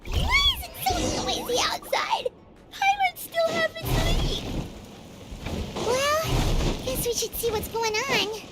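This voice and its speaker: A woman voicing a small, excitable fairy companion with a chirpy, childlike manner in an anime video game. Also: extremely high pitch, takes it slowly, long pauses, heavily acted. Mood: puzzled. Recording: game music under the voice.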